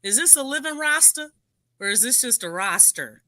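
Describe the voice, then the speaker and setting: A woman's voice: on the high side, animated, normal speed, sharp tone, no echo, studio quality. A woman with a New Orleans accent co-hosting a casual sports podcast, teasing and laughing often. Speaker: podcast co-host.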